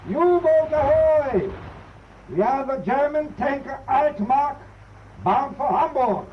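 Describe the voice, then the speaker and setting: An actor playing a commanding, watchful German sea captain in a radio drama. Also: male voice, very high voice, expressive, takes it slowly, exaggerated voice. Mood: shouting orders. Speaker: ship's captain. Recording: muffled, noisy radio drama recording.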